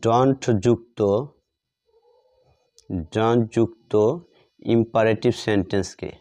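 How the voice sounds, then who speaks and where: Male teacher, Bengali accent, very slow pace, dry close sound, step-by-step repetition, dictation-style lesson. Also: on the low side, steady tone, darkish tone, no room sound, lo-fi sound. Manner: repeats phrases step by step, dictation-like. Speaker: English grammar teacher. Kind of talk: classroom lesson, explaining while writing out examples.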